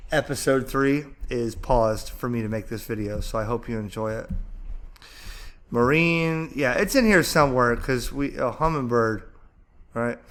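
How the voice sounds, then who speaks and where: A man talking casually and excitedly as an amateur radio vlogger, chatty and rambling as he thinks aloud. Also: mid-range voice. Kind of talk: casual enthusiastic vlog commentary, browsing and narrating.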